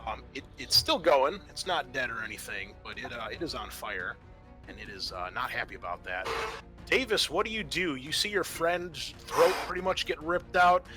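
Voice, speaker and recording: A man narrating a tabletop roleplaying game as game master, pausing often as he works out what to say. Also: medium pitch, animated, music in the background.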